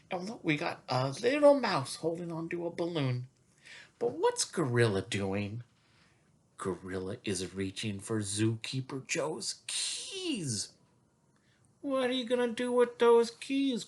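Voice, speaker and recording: Male storytime reader for small kids: unhurried, lively pitch swings, chatty and questioning. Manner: expressive, chatty, asking questions as he reads. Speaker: coach reading to young children. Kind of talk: children's picture-book storytime.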